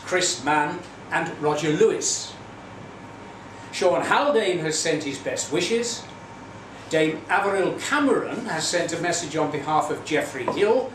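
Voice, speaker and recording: A man with an English accent hosts a poetry show from a stage, his wry, formal phrasing ringing through an echoey theatre. He sits well back from the microphone, his voice a touch high for a man, with a steady hum of room noise behind him. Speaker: host and poetry candidate. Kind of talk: compering a live poetry event. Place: small theatre venue. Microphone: far from the mic. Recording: echoey hall from far off, audience noise.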